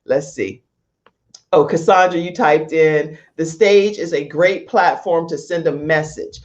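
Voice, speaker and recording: A woman hosting a casual live online talk show in a chatty, expressive, informal way over lo-fi call audio. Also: sits low, a little slow. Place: live video stream from a studio setup.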